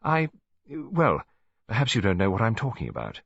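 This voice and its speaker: A man's voice: fairly low pitch, huge pitch swings, normal speed, vocal fry, a bit bright, slightly rough, muffled recording, exaggerated voice. A man voicing a genteel, practical English lady near seventy, who chatters politely in an audiobook story. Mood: hesitant, confiding. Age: older. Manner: chatty, rambling, polite tag questions.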